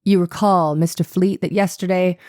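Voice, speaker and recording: A probing courtroom lawyer of the 1890s acted by a woman, with a low, mellow voice. The questions are measured and exact, delivered as a dramatized transcript reading, and the sound is close and dry. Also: steady tone, dry recording, natural voice. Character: male defense attorney in 1890s trial, probing, exacting. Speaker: actor reading a lawyer's part.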